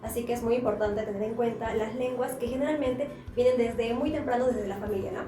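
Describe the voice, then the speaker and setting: A young woman, a student sharing a personal example in a measured way, with filler pauses. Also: on the high side, mellow. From Peru. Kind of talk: group discussion. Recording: echoey room with music.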